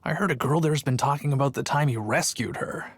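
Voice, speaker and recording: An actor playing a chatty, sheepish city guard in a video game who tells a long story and gets defensive. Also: male voice, mid-range voice, a little fast, noisy background, close to an everyday voice.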